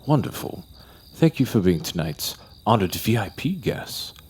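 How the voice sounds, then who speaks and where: An actor playing a formal, servile doorman with eerie courtesy and a breathy voice in an audio drama. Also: on the low side, sing-song, unhurried, a little mellow, heavily acted. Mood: unctuous. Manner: servile, ceremonious.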